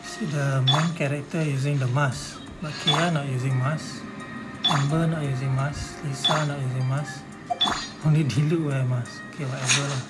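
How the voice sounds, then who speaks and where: A man comments casually on a video game. His phrases are short and clipped, his voice mid-pitched, and loud game music plays behind him. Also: steady tone, normal speed. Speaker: gamer recording a walkthrough. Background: video game music and effects.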